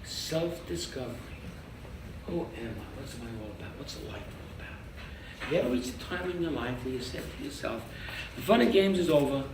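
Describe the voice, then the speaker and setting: An older man teaching a lively interactive Torah class as a rabbi, quizzing his audience and joking. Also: medium pitch, normal speed. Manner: animated, quizzes the audience, jokes. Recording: echoey room from far off with noise.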